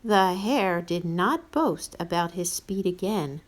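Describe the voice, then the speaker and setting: A woman storyteller reading a storybook aloud to young children, expressive and playful. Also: on the low side, takes it slowly. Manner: expressive, addresses listeners playfully.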